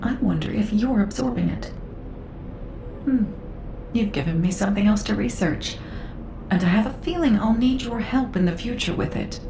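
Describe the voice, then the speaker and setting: A woman's voice: medium pitch, vocal fry, noisy background, natural voice. Echoing, composed female game researcher, acted by a woman. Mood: curious, composed. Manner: measured, formal. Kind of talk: video game voice acting. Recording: reverberant voice over loud game music.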